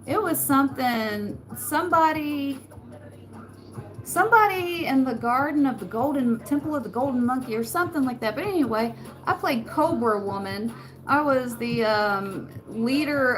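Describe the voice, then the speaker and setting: A soft-spoken woman with a rural Western American accent, chatting casually with her viewers and laughing often. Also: on the high side, lively intonation, unhurried. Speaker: channel host. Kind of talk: casual live chat answering comments. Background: background music.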